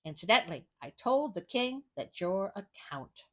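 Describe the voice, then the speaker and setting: A woman voicing a clever, smooth-talking male cat in boots in a storybook reading, with a courtly, self-assured manner. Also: mid-range voice, very animated, moderate pace. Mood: confident, scheming.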